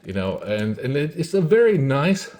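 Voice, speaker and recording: A man giving a casual, unhurried product review, talking in short phrases with pauses and repeating himself. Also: on the high side, big pitch swings, a little mellow. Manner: unhurried, repetitive, pausing between short phrases. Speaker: toy reviewer, host.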